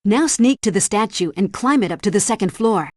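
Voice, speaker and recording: A woman's voice: medium pitch, expressive, fairly quick, no echo, clean studio sound, lightly acted. A woman voicing a helpful female helpdesk guide in a video game, giving scripted tutorial instructions and mission briefings with precise diction. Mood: instructive.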